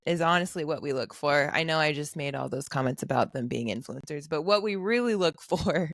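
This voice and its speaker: A woman's voice: low-pitched, a little fast, no room sound, clean studio sound. A woman hosting a podcast in a casual chat, teasing her guests and laughing often. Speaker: podcast host.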